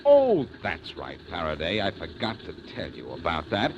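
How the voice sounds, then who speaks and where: An actor playing a confident, wisecracking detective in a 1940s radio drama. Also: male voice, low voice, huge pitch swings, moderate pace, poor audio. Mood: explaining. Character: wisecracking reformed-crook detective, man. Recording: old radio recording with faint hiss.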